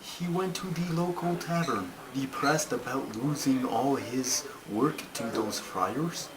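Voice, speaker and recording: A man voicing a puppet turtle who tells stories in an earnest, bossy, deliberate way with formal wording. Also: medium pitch, a crowd in the background, lightly acted. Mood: solemn storytelling. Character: Terry, a slow, earnest, bossy storytelling male turtle puppet. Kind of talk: storytelling in a puppet comedy. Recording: clearly noisy homemade recording.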